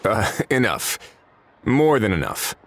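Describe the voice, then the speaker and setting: A man playing a composed, shrewd official in a video game, measured and dryly polite as he probes. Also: on the low side, sing-song, slight background noise, exaggerated voice. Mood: courteous, firm.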